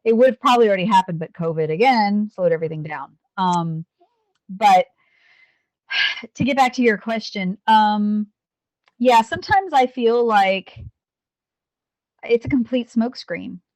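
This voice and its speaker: A woman's voice: mid-range voice, expressive, a little slow, pauses often, dry recording, rough recording. A woman in her fifties, a police officer and Navy veteran, talking earnestly in an interview with frequent restarts. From United States. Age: 50s. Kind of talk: candid interview talk.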